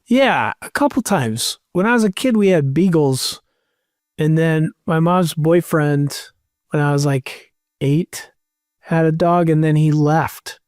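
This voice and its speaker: A man's voice: a little high, slow, a little mellow, dry recording, clean studio sound. A man in his thirties, a podcast co-host, joking and explaining things offhand in casual chat.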